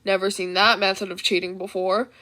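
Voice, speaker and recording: A woman voicing a gruff, weary, sceptical male teacher from anime, with a dry deadpan delivery, in a fan fiction reading. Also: medium pitch, fairly quick, pitched below the performer's own voice, close to an everyday voice. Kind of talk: fan fiction audiobook character voice.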